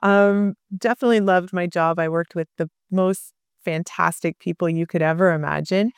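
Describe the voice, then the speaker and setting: Woman, forties, from Canada, podcast co-host, conversational, clear smooth voice. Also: lowish voice, steady tone, moderate pace, no echo, clean studio sound. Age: 40s. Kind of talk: casual chat.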